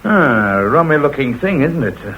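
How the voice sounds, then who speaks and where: An actor playing a blunt, gruff male police inspector in 1940s radio acting, husky, on a dull old radio recording. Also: mid-range voice, big pitch swings, moderate pace. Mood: brusque. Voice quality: husky, brusque.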